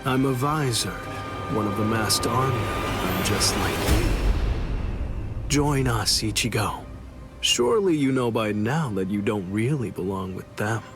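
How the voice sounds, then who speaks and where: An actor in an English anime game dub playing a cocky, teasing masked Soul Reaper with a sardonic, theatrical delivery. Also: male voice, fairly low pitch, animated, measured pace. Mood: smug, taunting. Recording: music behind the voice.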